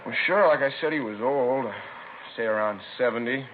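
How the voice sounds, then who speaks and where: An actor playing a chatty, put-out store manager, a robbery witness who talks in colloquial fragments, in naturalistic 1950s radio drama style. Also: male voice, mid-range voice, moderate pace, close to an everyday voice. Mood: obliging. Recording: lo-fi noisy 1950s radio recording.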